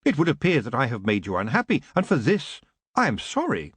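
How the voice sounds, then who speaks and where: A steady, courteous young man who speaks formally and calmly, with plenty of movement in his pitch, as a man reads him. The sound is close and dry. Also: a little fast, slightly rough, dry recording, full character voice. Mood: conciliatory. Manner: calm and formally polite.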